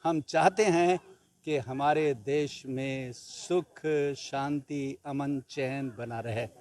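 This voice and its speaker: A man's voice: medium pitch, fairly even intonation, takes it slowly, darkish tone. A man speaking in a news interview, earnest and repeating phrases for emphasis. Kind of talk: spoken news soundbite.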